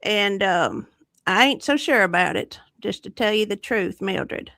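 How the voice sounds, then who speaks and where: A woman's voice: mid-range voice, expressive, a little slow, gravelly, fairly bright tone, no echo, clean studio sound. A woman playing a folksy grandma who hosts a chat show, talking in a hesitant drawl. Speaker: host. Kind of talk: improvised comedy chat in character.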